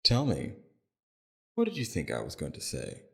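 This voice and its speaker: A man playing a calm, courteous villain leader in an audio roleplay, speaking in a civil, measured, softly probing way with a very deep, gravelly voice. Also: heavily acted. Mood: gentle, amused.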